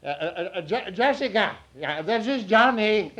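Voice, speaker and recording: A high-pitched, excitable drifter in an old-time radio play. He stammers in a folksy, simple-hearted way, and his voice swings up and down through the vintage broadcast. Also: unhurried, heavily acted. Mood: excited, flustered. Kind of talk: 1940s radio drama acting.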